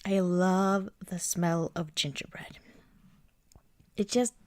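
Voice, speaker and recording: Female ASMR performer, intimate close-mic roleplay, soft half-whispered delivery, leisurely pace, no room sound. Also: fairly low pitch, fairly even intonation, no echo. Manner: gentle, unhurried, often dropping into whispers. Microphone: close microphone, dry sound.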